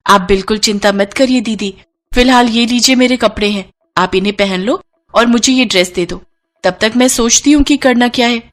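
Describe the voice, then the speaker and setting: A woman's voice: fairly high pitch, moderate pace, close to an everyday voice. Helpful young tailor girl voiced by a woman. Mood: reassuring. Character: helpful, reassuring young tailor girl, auto driver's daughter. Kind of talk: cartoon story dialogue.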